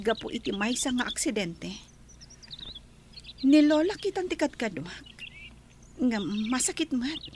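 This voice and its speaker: High-voiced emotional young woman in radio drama. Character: grieving orphaned young woman, stressed, grateful friend. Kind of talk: Ilocano radio drama acting. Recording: clear background noise with birdsong.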